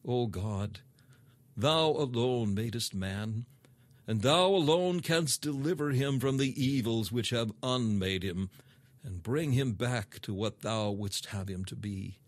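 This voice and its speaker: A man's voice: mid-range voice, slow, no echo. Formal man reading a sermon, dry sound. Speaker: sermon reader. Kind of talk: scripted sermon reading, audiobook narration.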